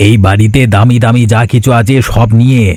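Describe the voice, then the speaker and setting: A man voicing a calm, scheming male captor in a cartoon, speaking in flat, businesslike threats. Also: mid-range voice, a little fast, lightly acted.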